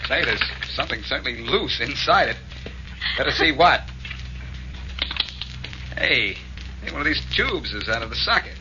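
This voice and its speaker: A man's voice: mid-range voice, expressive, moderate pace, pauses often, noisy background, lightly acted. A man with an American accent playing a cool, glib amateur sleuth in 1940s radio drama style. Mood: probing. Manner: glib, teasing, wry. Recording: muffled 1940s radio recording with music.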